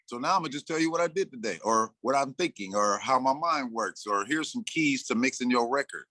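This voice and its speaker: Guest: sixty-something man, bright forceful voice, dry close sound, loose storyteller who acts out his own lines. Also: mid-range voice, expressive, brisk, no echo. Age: 60s. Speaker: interview guest, rapper and producer. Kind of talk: casual interview talk.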